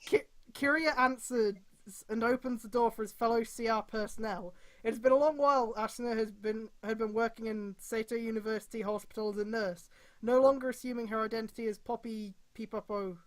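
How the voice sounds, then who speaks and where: A woman's voice: fairly high pitch, fairly even intonation, a little fast, low-quality recording. A woman casually reading a story aloud on a stream, breaking off for irreverent, sweary commentary.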